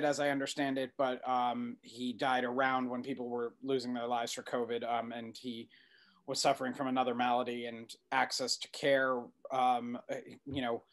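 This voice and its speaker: A middle-aged man answering reflectively as an interview guest on a video call, halting and careful. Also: medium pitch. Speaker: guest filmmaker. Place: indoor room, video call.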